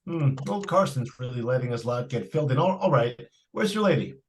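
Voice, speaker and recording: A man's voice: mid-range voice, animated, brisk, natural voice. A man playing a patronizing, skeptical father in an old-time radio drama, with indulgent, slightly stammering delivery. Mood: indulgent, doubtful. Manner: hesitant stammers, indulgent, dismissive. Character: patronizing, skeptical middle-aged father of a young girl. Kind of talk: 1940s radio drama acting.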